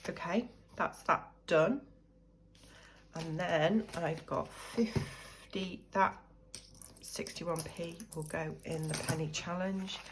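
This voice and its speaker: A woman's voice: on the low side, slow pace, slight background noise. A British woman with a cold, chatting and thinking aloud as she sorts cash into budget envelopes. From United Kingdom. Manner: chatty, thinks aloud while counting money. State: croaky from a cold. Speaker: budgeting vlogger. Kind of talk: casual chat while cash stuffing.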